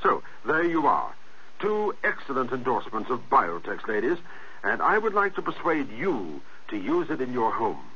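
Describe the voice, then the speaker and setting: A man's voice: mid-range voice, sing-song, moderate pace, bright, forceful tone, slight background noise. A man announcing a 1960s radio commercial, addressing listeners directly. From South Africa. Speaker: advertising announcer. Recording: muffled old radio recording.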